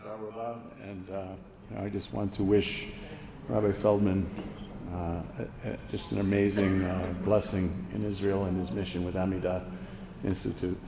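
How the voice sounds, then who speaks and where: Very deep, flat-voiced man speaking haltingly. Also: gravelly. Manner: halting, hesitant, heartfelt. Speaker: congregation friend and guest. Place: synagogue hall. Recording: echoey hall with room noise.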